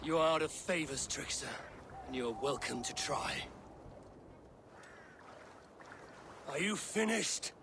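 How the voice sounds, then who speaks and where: A man's voice: fairly high pitch, lively intonation, normal speed. An actor playing a stern, proud god-king in a video game, speaking in a solemn, commanding way. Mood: stern, defiant. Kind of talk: dramatic video game acting. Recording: noisy ambience behind the voice.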